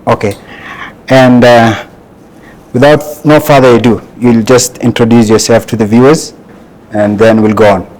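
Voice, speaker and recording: A man's voice: medium pitch, fairly even intonation, moderate pace, darkish tone, poor audio. A man hosting a TV interview, asking questions and adding short backchannels. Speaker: interview host.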